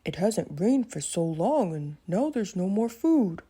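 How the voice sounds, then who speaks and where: A woman's voice: mid-range voice, sing-song, unhurried, warm tone, slightly rough. A woman voicing a hungry, worried, tired male animal in a children's storybook, speaking simply and plaintively.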